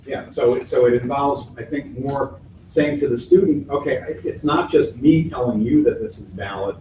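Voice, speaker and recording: A man's voice: medium pitch, normal speed, mellow. A man speaking as a conference keynote speaker, answering audience questions in a thoughtful way, restarting phrases and using folksy sayings. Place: hotel conference hall. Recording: echoey hall from far off, faint noise.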